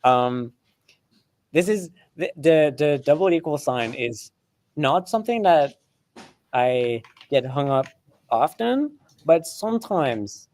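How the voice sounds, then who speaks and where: Guy talking through code live, english not his first language, a bit higher voice, lots of ums and restarts. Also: lively intonation, takes it slowly, a little mellow. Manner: chatty, many restarts and fillers, laughs often. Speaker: co-host. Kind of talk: casual live coding explanation.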